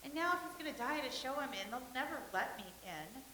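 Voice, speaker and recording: A woman's voice: sits high, animated, fast talker, sharp tone. A woman acting in a staged comedy reading as a dreamy, eager young actress from the horse-and-buggy era. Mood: determined. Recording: roomy echo, faint hiss and noise.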